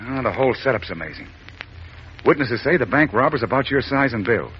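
Actor as curious bruce wayne, 1940s radio drama, dull broadcast with light hiss. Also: male voice, mid-range voice, expressive, brisk, natural voice. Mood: curious, eager.

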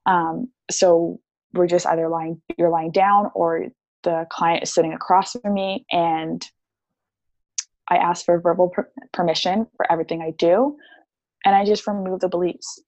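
A woman's voice: fairly low pitch, fairly even intonation, moderate pace, darkish tone, dry recording, poor audio. A young woman talking as a podcast guest about her healing practice, chatty and casual, with many fillers. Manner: chatty, explains with lots of "like" and "um".

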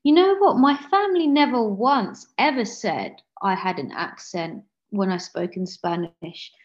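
An earnest woman talks as a guest in a relaxed interview. She often restarts and corrects herself mid-sentence. Her voice sits at a medium pitch and comes through a slightly dull, lo-fi recording. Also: a little slow. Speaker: guest author. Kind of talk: casual interview conversation.

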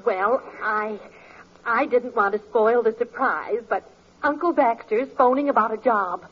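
A woman's voice: fairly high pitch, unhurried, in a large hall, close to an everyday voice. A woman playing a patient, soothing middle-aged wife and mother in a 1940s radio comedy. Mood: placating. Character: patient, placating housewife and mother. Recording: dull, noisy old radio recording.

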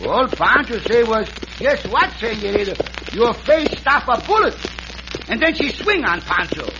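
Male comic sidekick: very high, swooping voice, naive Mexican cowboy in broken English, noisy old radio sound. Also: big pitch swings, normal speed, gravelly, full character voice. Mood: rueful, naive. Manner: broken English, refers to himself by name. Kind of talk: 1940s-50s western radio acting. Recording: noisy, muffled vintage radio broadcast.

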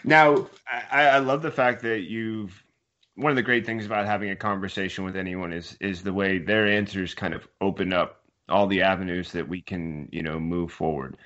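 Low-voiced male interviewer, lo-fi audio. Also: fairly even intonation, normal speed, fairly bright tone. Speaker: interview host. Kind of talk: casual remote interview.

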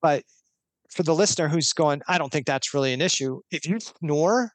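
A man's voice: on the high side, moderate pace, no echo. A man talking as a guest expert on a podcast, explaining earnestly step by step with a few restarts.

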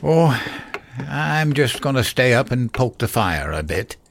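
A man playing an uneasy, stubborn old father and former soldier in an audio drama, halting and defensive. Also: mid-range voice, sing-song, gravelly, slight background noise. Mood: distracted, uneasy.

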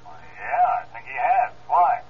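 An actor playing a plain-spoken sheriff reporting over a telephone in an old-time radio crime drama. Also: male voice, on the high side, lively intonation, measured pace. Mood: matter-of-fact. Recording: thin, hissy old radio phone line.

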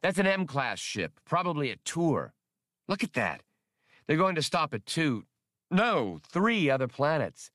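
Guy doing a grumbly space smuggler for an audiobook, sarcastic, impatient, voice pushed up high. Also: very animated, a little slow, a bit bright, no echo, clean studio sound. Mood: incredulous, irritated. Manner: exasperated, argumentative.

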